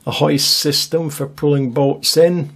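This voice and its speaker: A man talks over his own coastal footage in a relaxed, chatty voice-over, musing aloud and dropping wry little asides. His voice sits a touch high for a man. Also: a little slow, breathy edge. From Scotland. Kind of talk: casual voice-over commentary.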